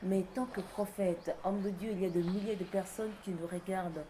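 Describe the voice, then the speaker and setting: A woman's voice: medium pitch, fairly even intonation, normal speed, dark tone, slight background noise. A woman interviewing a guest, asking questions and following along with frequent short acknowledgments. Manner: attentive, with frequent "mhm" and short echoes.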